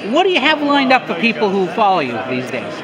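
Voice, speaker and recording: Man in his fifties, high-pitched, conversational interviewer, crowd chatter behind. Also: wildly swooping pitch, fast, poor audio. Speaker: blogger interviewer. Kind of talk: casual interview questions. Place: busy convention hall.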